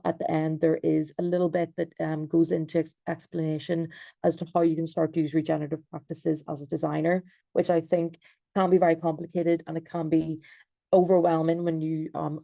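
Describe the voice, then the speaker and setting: Irish woman talking thru her slides on a zoom call, low flat voice, lots of ums. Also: fairly quick, gravelly, no room sound. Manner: hesitant, many fillers and restarts. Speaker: designer and researcher. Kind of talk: presentation over video call. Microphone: video call.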